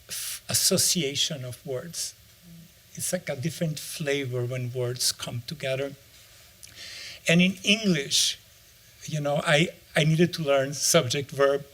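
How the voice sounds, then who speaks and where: Reflective middle-aged man. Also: fairly high pitch. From Brazil. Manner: reflective, searching for words. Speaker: author and anthropologist. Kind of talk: interview answer.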